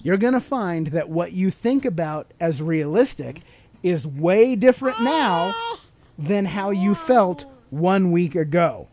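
A man's voice: high voice, expressive, takes it slowly, noisy background. A man hosting a podcast, teaching motivational ideas in a conversational, explanatory way and putting questions to the listener. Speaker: podcast host.

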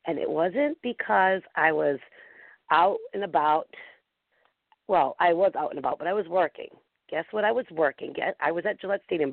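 A woman hosting a live internet talk show, with a deep, raspy voice gone hoarse, rambling excitedly and talking to her chat room. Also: no room sound. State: hoarse from a concert. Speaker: podcast host. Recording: tinny phone-line audio.

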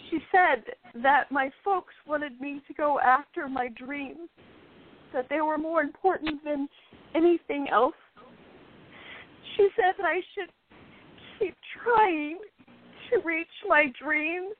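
A woman acting a jealous, guarded and easily wounded young actress in an amateur radio play. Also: sits high. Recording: thin, phone-like band-limited audio.

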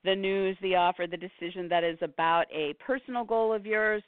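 A woman astrologer runs through the week's signs in a hoarse voice, fading as she goes. She strings long lists together with ums and brief laughs. The sound is dry and thin, like a phone line. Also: medium pitch, fairly even intonation, dry recording. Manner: rapid listing, with fillers and short laughs. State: hoarse, losing her voice. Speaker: astrologer host. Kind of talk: weekly horoscope talk.

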